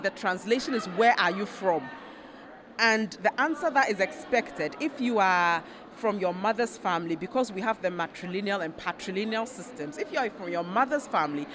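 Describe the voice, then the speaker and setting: A woman from Ghana, a researcher and panel guest, speaking in a measured, thoughtful way with frequent fillers. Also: medium pitch. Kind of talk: panel conversation.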